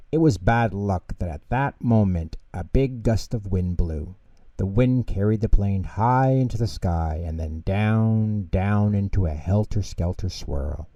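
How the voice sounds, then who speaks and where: A man narrating children's bedtime stories in an expressive storytelling style. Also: lowish voice, no room sound. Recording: dull, lo-fi close recording.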